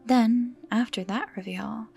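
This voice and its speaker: A woman giving scripted video-essay commentary with dramatic emphasis and hushed, breathy phrase endings. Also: on the high side, steady tone, measured pace. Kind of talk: scripted commentary on a horror series. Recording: music behind the voice.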